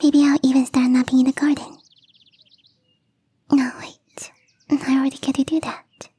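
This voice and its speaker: A woman voicing a young catgirl gardener in an ASMR roleplay, teasing, coy and playfully smug, with a breathy, whispery voice. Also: high-pitched, level tone, moderate pace, dark tone, no room sound. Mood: playful sarcasm. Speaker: voice actress.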